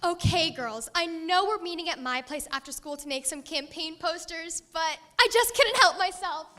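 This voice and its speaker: A bubbly teen girl gushing to her clique, played by a woman. Her voice is very high and bright. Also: normal speed.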